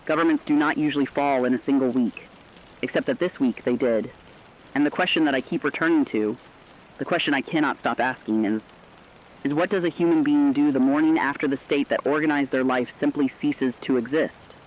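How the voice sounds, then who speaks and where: Man acting as grave radio narrator, deliberate phrasing, scripted apocalyptic documentary, dull lo-fi sound, hiss and rain behind. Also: medium pitch, lightly acted. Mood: grave, reflective. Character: somber male survivor-radio narrator of a collapsing world.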